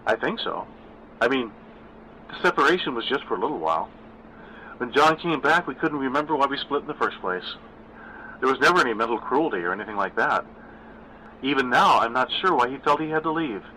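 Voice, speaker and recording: Composed mother figure, overly concerned and a bit too loud, put on by a male narrator in a raised, livelier register, storybook reading. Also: mid-range voice, expressive, brisk, long pauses, slight background noise, pitched above the performer's own voice, low-quality recording. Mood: wistful. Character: poised middle-aged mother, composed, performing calm.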